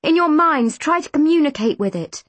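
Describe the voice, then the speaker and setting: Woman acting a forceful, raised-voice male storybook mentor. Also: sits high, animated, normal speed, no room sound, pitched above the performer's own voice. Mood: urgent coaching. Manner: forceful, instructing. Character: commanding, urgent male mentor in a children's story.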